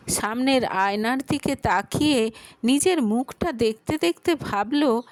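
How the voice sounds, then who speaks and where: A woman narrating a scripted audio story in an expressive, dramatic way. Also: fairly high pitch, no room sound.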